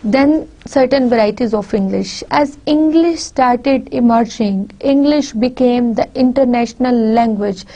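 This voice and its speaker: A woman from Pakistan with a Pakistani accent, a native Punjabi speaker, giving a university lecture deliberately, often repeating and restarting phrases. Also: fairly high pitch, dark tone, rough recording. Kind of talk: recorded lecture.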